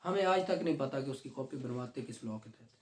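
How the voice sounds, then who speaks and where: A man teaching a law class, reading legal text slowly and then explaining it conversationally to students. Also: medium pitch, expressive, a little mellow, slight background noise. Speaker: law lecturer. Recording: echoey classroom.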